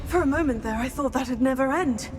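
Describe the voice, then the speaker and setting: Woman voicing teenage witch schoolgirl, fairly high voice, composed, curious, polite, game acting, music underneath. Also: brisk, close to an everyday voice. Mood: relieved.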